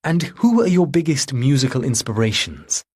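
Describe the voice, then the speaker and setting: A man's voice: medium pitch, expressive, moderate pace, breathy edge, pitched above the performer's own voice. A man voicing a friendly, reassuring female TV interviewer in an audiobook. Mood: bright, inquisitive.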